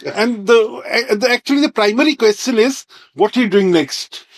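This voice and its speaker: A man's voice: sits high, lively intonation, moderate pace, no echo. A man with an Indian English accent hosting an interview show, rambling through his introductions with frequent uhs and restarts. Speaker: host.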